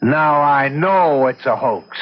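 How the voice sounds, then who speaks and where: Old radio drama, muffled, a grumbly older dad, dry and doubting everything. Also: male voice, medium pitch, lively intonation, slow pace. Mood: skeptical, grumbling. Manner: dry, dismissive. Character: skeptical, grumbling bald father, older war veteran.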